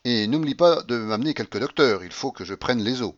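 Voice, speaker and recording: A man's voice: mid-range voice, lively intonation, a little fast, muffled recording, natural voice. A man voicing an imperious, eccentric rich old Russian grandmother in an audiobook, blunt and bossy. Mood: commanding.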